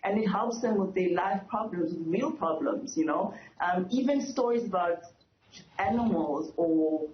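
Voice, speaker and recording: A woman's voice: lowish voice, measured pace. A woman speaking earnestly as an expert guest in a news interview, explaining things and repeating words for emphasis. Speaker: author and educator, interview guest. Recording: dull, echoey lo-fi remote link.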